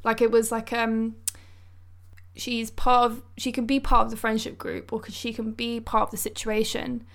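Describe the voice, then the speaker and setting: A woman's voice: on the high side, completely flat delivery, moderate pace. A woman co-hosting a podcast, talking casually and reflectively in informal slang. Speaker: podcast co-host. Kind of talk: casual conversational discussion.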